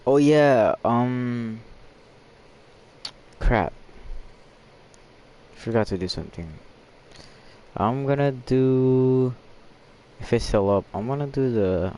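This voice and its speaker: Slow, gravelly male game streamer. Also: medium pitch, animated, warm tone. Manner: mumbling to himself, halting. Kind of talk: casual gameplay commentary.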